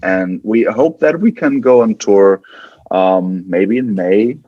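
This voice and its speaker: Male German-accented interview guest, lowish voice, informal, dry and slightly lo-fi remote sound. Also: takes it slowly, breathy edge, dry recording. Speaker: metal band guitarist, podcast guest. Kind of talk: casual interview chat. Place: indoors on a couch, remote interview.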